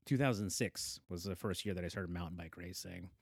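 Interview guest, male, 40s, born in the Philippines; earnest and emphatic, lower register, dry sound. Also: fairly quick, gravelly, husky, dry recording. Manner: earnest, emphatic, frequent fillers and repeats. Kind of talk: reflective conversational interview.

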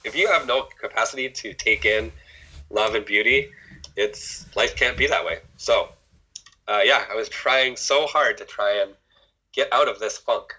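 A middle-aged man with a husky, very bright and forceful tone and a lowish voice. He talks rawly and candidly, swearing freely and breaking off to restart his thoughts. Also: moderate pace, poor audio. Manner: raw, emotional, swears freely, many restarts. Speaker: former ski coach. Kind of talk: candid personal conversation.

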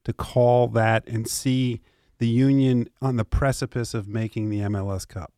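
Male sports co-host, medium pitch, casual banter, very dry sound. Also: steady tone, normal speed, mellow, dry recording.

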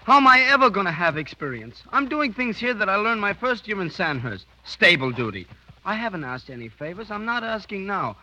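An actor in a 1930s radio drama playing a proud, touchy young cavalry subaltern who speaks in a clipped, indignant manner. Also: male voice, high-pitched, big pitch swings, a little fast, sharp tone, slight background noise, exaggerated voice. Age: young adult. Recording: dull vintage 1930s radio recording, faint music.

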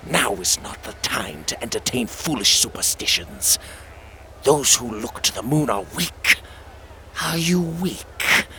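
A man's voice: mid-range voice, big pitch swings, pushed and bright, exaggerated voice. A man voicing a sinister, manipulative voice inside a character's mind that hisses and coaxes. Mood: scolding. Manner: hissing, coaxing, slow.

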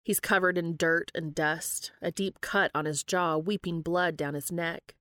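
Close, dry-sounding woman narrator, lowish voice. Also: fairly even intonation, measured pace, a bit bright, no echo. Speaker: podcast host and reader. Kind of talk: scripted narration of fan fiction.

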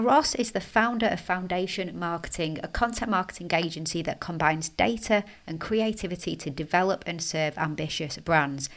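British-accented woman podcast host, low voice. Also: a bit bright. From Canada, raised in the UK. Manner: upbeat, laughs often, tag questions. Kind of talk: scripted intro then interview chat.

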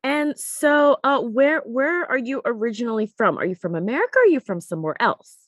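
A woman's voice: fairly high pitch, expressive, fairly quick, no room sound, studio quality. A woman hosting a podcast, interviewing in a casual, chatty and informal way.

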